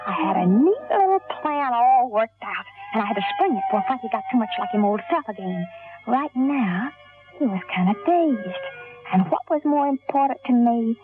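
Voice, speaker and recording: An actress playing a scheming, hard-boiled gangster moll with a tough, wry, slangy delivery in an old radio crime drama. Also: female voice, on the high side, big pitch swings, normal speed. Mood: wry, calculating. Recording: tinny old radio broadcast, music behind.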